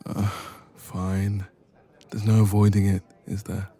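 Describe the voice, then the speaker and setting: A man's voice: fairly low pitch, level tone, slow pace, dark tone, slightly rough. A man playing a bashful, evasive best friend with a secret crush in an intimate romance audio roleplay. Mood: embarrassed, hesitant. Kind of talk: romance ASMR roleplay, intimate one-sided conversation.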